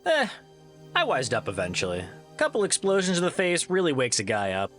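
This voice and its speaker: A man's voice: fairly high pitch, wildly swooping pitch, brisk, over music. A man playing a laid-back, joking reformed troublemaker in an audio drama, with a casual, wry, reassuring manner. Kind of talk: audio drama character acting.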